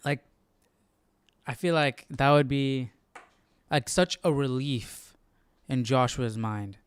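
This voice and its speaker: A man's voice: mid-range voice, slow pace, clean tone, no echo. A man hosting a livestream study session, commenting in a casual, informal way and often checking in with listeners. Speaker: host of a Bible study livestream.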